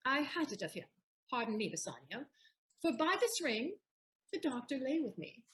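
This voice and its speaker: A woman playing a proud, sharp-witted wife in a Shakespearean audio play, teasingly indignant in declamatory verse. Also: sits high, big pitch swings, moderate pace, slight background noise. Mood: mock stern, teasing.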